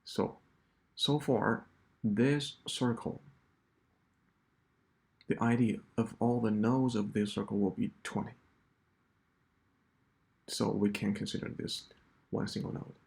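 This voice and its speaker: A man walking through a coding solution step by step, in a halting explanatory way. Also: on the low side, fairly even intonation, gravelly. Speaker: programming tutor.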